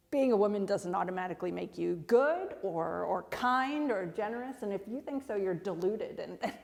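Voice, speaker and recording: A woman's voice: mid-range voice, creaky, close to an everyday voice. A woman playing an outspoken, indignant film director in a dramatic monologue, defiant and sweary, tripping over repeated words. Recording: long echoing hall reverb.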